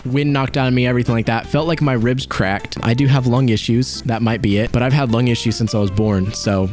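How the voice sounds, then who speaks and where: A young man of nineteen chatting casually at a middle pitch, dry and self-mocking. He talks offhand, with soft music faintly behind him. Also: steady tone, fairly quick. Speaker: YouTuber host. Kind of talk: casual chat.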